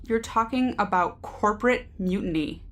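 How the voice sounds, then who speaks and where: An actress playing a cool, cynical security team member in a science fiction audio drama, terse, wry and matter-of-fact. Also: female voice, mid-range voice, moderate pace, fairly bright tone, lightly acted. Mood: wry, decisive.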